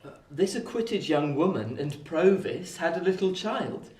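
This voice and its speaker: An actor playing a kindly, attentive young gentleman in a radio play, with a gentle, solicitous and theatrical way of talking. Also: fairly high pitch, lively intonation, measured pace. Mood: concerned, tender. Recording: roomy, distant-microphone recording.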